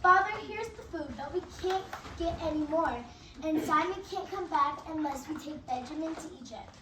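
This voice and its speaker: Earnest pleading brother played by a woman, bright, amateur stage reading, far from mic. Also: sits high, normal speed. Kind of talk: amateur readers' theatre. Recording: distant echoey room, faint noise.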